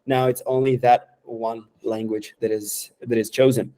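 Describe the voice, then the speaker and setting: Mellow-voiced man demoing software. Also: mid-range voice, little pitch movement, poor audio. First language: Romanian. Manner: halting, lots of fillers and restarts. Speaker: software developer. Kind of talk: casual screen-share product demo.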